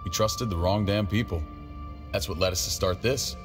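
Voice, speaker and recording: A man playing a gruff, hardened community leader in a video game drama, talking in a blunt, terse, commanding way. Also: sits low, normal speed, music in the background, lightly acted. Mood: gruff, bitter.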